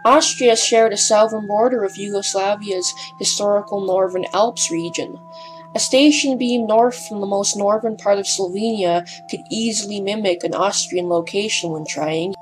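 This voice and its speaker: A woman's voice: medium pitch, normal speed, soft background music, low-quality recording. Hesitant, slightly breathless woman narrator. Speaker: documentary narrator. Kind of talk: scripted voice-over narration.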